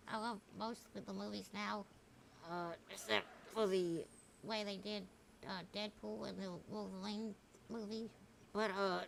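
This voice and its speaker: Woman, casual reaction host, gravelly fry, hesitant rambling delivery, faint background noise. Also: medium pitch, fairly bright tone. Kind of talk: casual commentary to viewers.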